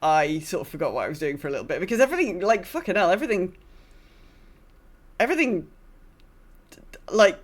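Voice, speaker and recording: A woman's voice: lowish voice, big pitch swings, fairly quick, pauses often. A woman hosting a gaming podcast, chatting casually in a rambling, self-deprecating way. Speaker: podcast host.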